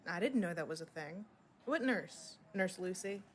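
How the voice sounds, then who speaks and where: A woman's voice: lowish voice, expressive, moderate pace, a little hiss or hum, natural voice. A woman playing a blunt, curious young patient in a radio drama, casual and inquisitive. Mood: puzzled. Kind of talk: modern radio drama acting.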